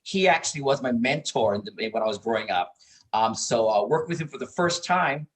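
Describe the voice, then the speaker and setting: A middle-aged man from Hawaii speaks as a guest in a casual interview. His voice sits at a medium pitch for a man, and he tells his story expressively, repeating words for emphasis. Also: lively intonation, brisk. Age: middle-aged or older. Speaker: interview guest, performer and producer.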